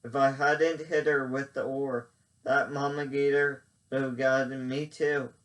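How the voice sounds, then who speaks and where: Male reader as Jean Sot: gloomy, simple Cajun guy, slow and earnest. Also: mid-range voice, flat delivery, fairly bright tone, far from the mic, lightly acted, poor audio.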